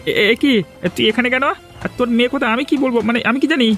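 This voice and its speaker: A man's voice: very high voice, steady tone, racing, a bit bright. A man playing a scheming, menacing bandit and kidnapper in a Bengali cartoon. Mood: flustered. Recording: music bed under the voice. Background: background music.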